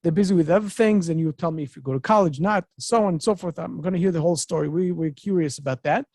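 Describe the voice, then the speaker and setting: An energetic middle-aged man hosts a podcast interview, his voice sitting fairly high and sounding close and dry into a studio mic. He talks with eager, repetitive phrasing and loose grammar. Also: fast talker, dry recording. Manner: excitable, repetitive, non-native phrasing. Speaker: podcast host. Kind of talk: enthusiastic interview chat. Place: home office with bookshelves. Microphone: studio mic on boom arm.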